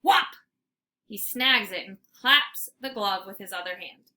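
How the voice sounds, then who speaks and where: A woman reading a children's story aloud like a teacher, in an expressive, theatrical narration. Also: medium pitch, measured pace. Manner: animated, theatrical.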